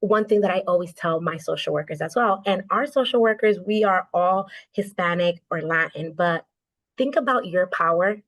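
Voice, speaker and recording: An earnest woman speaking on a video call with steady conviction. She leads a social work nonprofit and often checks in with a quick "right?". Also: lowish voice. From United States. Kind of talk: video call panel discussion.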